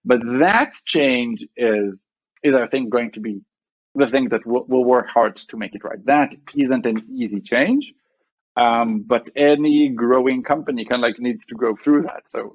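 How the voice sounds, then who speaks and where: A man's voice: medium pitch, expressive, a little slow, muffled recording. A man with an Israeli accent, a startup CEO being interviewed, who rambles with many fillers and restarts. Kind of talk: conversational podcast interview.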